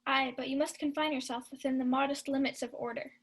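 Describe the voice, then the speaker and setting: A woman acting a high-voiced, scolding maid. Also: fairly even intonation, fast, close to an everyday voice. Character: scolding, sharp-witted household maid. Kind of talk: student Shakespeare radio acting.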